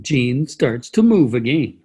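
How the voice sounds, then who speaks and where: A man's voice: mid-range voice, sing-song, slow pace, darkish tone, breathy edge. A man reading stage directions as the narrator of a play reading. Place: video call.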